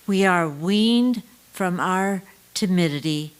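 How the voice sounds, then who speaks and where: Very slow, lower-pitched woman reciting. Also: smooth. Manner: deliberate, pausing between short phrases. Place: church service.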